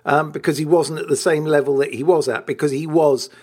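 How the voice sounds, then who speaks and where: A man's voice: a little high, steady tone, brisk, darkish tone. A man, co-hosting a football podcast in casual chat. He is enthusiastic, laughs often, and repeats words while he finds his thought.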